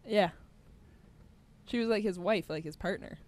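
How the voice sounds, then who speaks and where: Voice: medium pitch, moderate pace, no room sound. A co-host in casual banter, chiming in agreeably with brief interjections.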